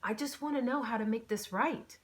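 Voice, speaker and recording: A woman's voice: fairly high pitch, brisk, pitched above the performer's own voice, lightly acted. A woman voicing a frightened woman in an audiobook, apologetic and placating as she pleads with her attacker. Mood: frightened, pleading.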